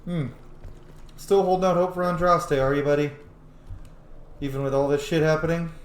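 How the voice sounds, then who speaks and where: A man's voice: a little high, fairly even intonation, normal speed. A man giving casual, sweary gameplay commentary, thinking aloud and roleplaying as he reacts to the game. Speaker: gamer, streamer. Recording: roomy, faintly noisy home recording.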